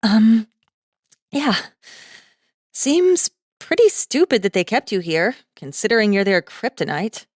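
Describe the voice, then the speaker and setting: A woman's voice: fairly high pitch, sing-song, a little slow, a little mellow, dry recording, studio quality, pitched above the performer's own voice. A woman voicing a nineteen-year-old college girl, nervous and flippant, with hesitant, rambling, slangy speech. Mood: awkward.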